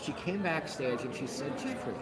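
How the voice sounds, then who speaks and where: Flamboyant man over a loud crowd. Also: on the high side, sing-song, normal speed. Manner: theatrical, self-mocking storyteller. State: voice deeper than usual. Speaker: festival host. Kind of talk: casual chat on a livestream.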